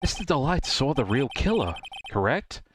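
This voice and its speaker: A man's voice: medium pitch, very animated, moderate pace, noisy background, close to an everyday voice. A man voicing an earnest young defense attorney with emphatic delivery in a live fan dub of a courtroom game. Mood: confident. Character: earnest young male defense attorney, bold but easily rattled. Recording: game music behind the voice.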